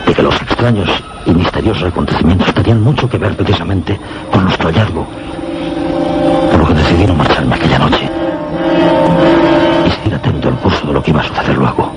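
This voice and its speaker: An actor with a Castilian accent playing a meteorologist who narrates frightening events in measured, formal radio theatre style. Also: male voice, low voice, loud music behind the voice. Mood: grave, intrigued. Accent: Castilian Spanish. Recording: noisy old radio drama recording.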